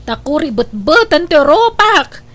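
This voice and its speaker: A woman's voice: high-pitched, big pitch swings, a little slow, a bit bright, exaggerated voice. A woman with a Filipino accent acting an aggrieved, fretting grandmother in a radio drama. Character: aggrieved grandmother, fretting over her sacrificing daughter.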